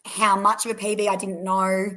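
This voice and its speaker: A young woman with an Australian accent, her voice hoarse and croaky, chatting as a guest on a podcast with lots of fillers and restarts. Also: mid-range voice, level tone, normal speed, a bit bright, low-quality recording. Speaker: race winner guest. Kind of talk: casual podcast interview.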